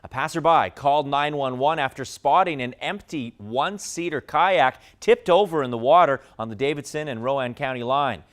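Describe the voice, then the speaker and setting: A man's voice: medium pitch, normal speed, hardly any pauses, fairly bright tone. Male American news anchor. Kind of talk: scripted broadcast news reading.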